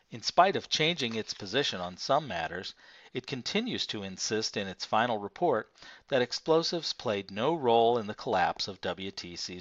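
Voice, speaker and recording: A man reading a nonfiction book aloud as scripted narration, in a measured, formal manner. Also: lowish voice, a bit bright, no echo. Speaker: audiobook reader. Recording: dull, lo-fi, dry close recording.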